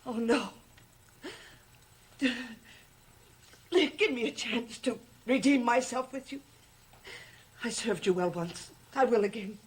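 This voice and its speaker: A woman playing a centuries-old scheming servant of dark powers in a gothic soap opera, pleading and menacing with formal, imperious phrasing. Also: on the high side, measured pace, natural voice. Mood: desperate pleading.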